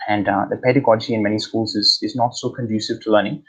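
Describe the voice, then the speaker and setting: A man's voice: lowish voice, level tone, fast, a bit bright, breathy edge, rough recording. An Australian man hosting an online talk, speaking with many fillers and restarts over a video call. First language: English. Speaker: host.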